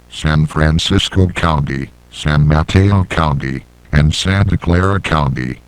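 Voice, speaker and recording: Guy doing a solemn emergency broadcast announcer, really deep and crisp, deadpan official delivery. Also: no echo, poor audio. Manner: formal, deliberate, deadpan. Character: male state emergency alert announcer, solemn and authoritative.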